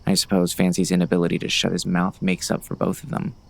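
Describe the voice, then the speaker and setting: Very deep, gravelly weary gunslinger. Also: male voice, monotone, fast talker. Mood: wry. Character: terse, uneasy gunslinger on horseback, wry and weary. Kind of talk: first-person western audiobook narration.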